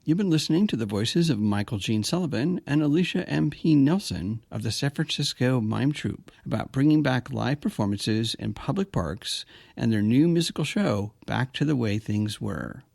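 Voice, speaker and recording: A man's voice: mid-range voice, lively intonation, normal speed, vocal fry, a little mellow, no room sound, studio quality. A man hosting a community podcast, speaking as a presenter. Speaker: podcast host. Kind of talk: scripted podcast narration and interview hosting.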